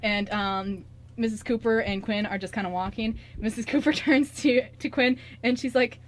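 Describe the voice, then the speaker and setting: A young woman chatting casually as a radio show host, giggly, excitable and rambling. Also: fairly high pitch, moderate pace. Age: young adult.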